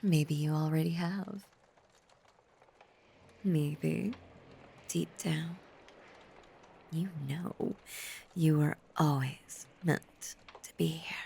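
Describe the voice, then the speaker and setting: A woman playing a possessive, obsessive female werewolf in an intimate audio roleplay, half-whispering with slow, seductive menace. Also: low voice, fairly even intonation, vocal fry, mellow, slight background noise, exaggerated voice. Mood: tender, possessive. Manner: slow, lingering, seductive delivery.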